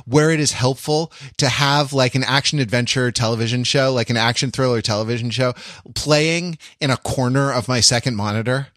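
A man's voice: mid-range voice, fairly even intonation, brisk, a bit bright, dry recording, clean studio sound. A man hosting a podcast in casual chat, talking in a wordy, discursive, wry way. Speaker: podcast host. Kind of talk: casual chat about TV shows.

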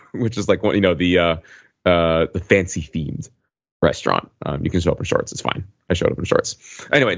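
Male podcast co-host, deep register, wry and rambling delivery, close dry sound with muffled top end. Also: dry recording. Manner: halting, digressive, wry. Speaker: podcast co-host recounting a trip. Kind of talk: casual conversational storytelling.

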